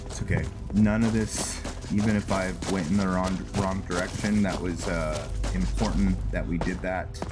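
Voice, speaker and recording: A man giving casual video game commentary, thinking aloud in a halting way as he plays. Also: low-pitched, steady tone. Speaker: video game streamer narrating his play. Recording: music behind the voice. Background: loud music.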